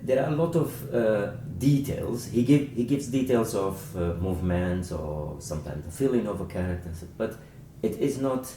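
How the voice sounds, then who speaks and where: Non-native english guy, dark mellow voice, halting, talking books in an echoey room. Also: fairly low pitch, animated. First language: not English. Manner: halting, searching for words. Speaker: author discussing a book. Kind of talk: informal literary discussion. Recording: echoey room, distant mic, faint noise.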